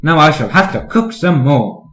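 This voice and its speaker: A man's voice: on the high side, lively intonation, normal speed, reverberant. A man voicing an angry, scolding housewife in a children's storybook reading. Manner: sharp scolding. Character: angry scolding woman, stationmaster's wife.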